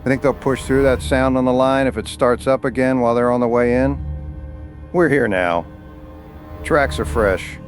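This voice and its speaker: A man's voice: medium pitch, normal speed, faint music behind, lightly acted. A man playing a grim, level-headed local hunter in a horror story, grave and matter-of-fact. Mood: grim, blunt. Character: grim, level-headed local male hunter who knows his prey. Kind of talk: scripted horror story dialogue.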